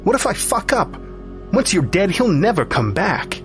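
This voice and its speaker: A man's voice: high voice, big pitch swings, normal speed, faint music behind, pitched above the performer's own voice. A man voicing a skeptical, uneasy young wife in an audio story, with slangy, hesitant, questioning speech. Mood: anxious, defensive.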